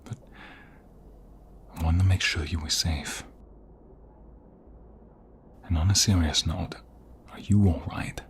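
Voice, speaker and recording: A man performs a protective mobster husband in an audio romance roleplay. His voice is low and very slow, soft and breathy, often sinking into whispers. He is tender with his love and fierce toward threats, over audible background noise. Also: fairly even intonation. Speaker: voice actor. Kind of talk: ASMR romantic roleplay acting.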